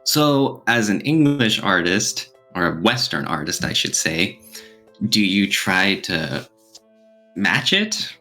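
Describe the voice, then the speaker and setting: A man's voice: low-pitched, lively intonation, takes it slowly, pushed and bright. A man co-hosting a casual podcast discussion, explaining his points and posing questions as he goes. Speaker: podcast co-host. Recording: music playing behind the voice. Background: background music.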